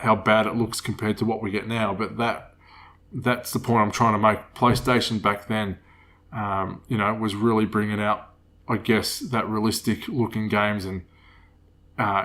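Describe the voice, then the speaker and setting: A man in his thirties with a cold that makes him a bit nasal, hosting a casual solo podcast in a rambling, relaxed way. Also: fairly low pitch. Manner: rambling, relaxed, with many fillers. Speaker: podcast host.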